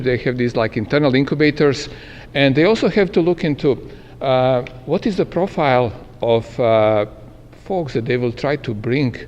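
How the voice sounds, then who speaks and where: A man moderating a panel at a live event, speaking haltingly with many filler sounds. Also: medium pitch, dull audio. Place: meeting room at an event. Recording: echoey hall with long reverb.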